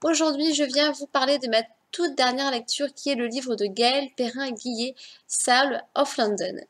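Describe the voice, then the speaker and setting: Woman, book review vlog, chatty, talks straight to viewers, slightly high voice. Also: fairly even intonation, normal speed. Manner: chatty, addresses viewers directly; self-correcting, scattered. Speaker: book reviewer on her channel.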